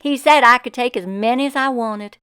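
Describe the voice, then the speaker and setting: A woman voicing a folksy, wonderstruck farmer who recalls a magical childhood summer in expressive storybook narration. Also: a little high, normal speed, smooth, no echo, studio quality, lightly acted. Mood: delighted. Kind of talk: storybook first-person narration.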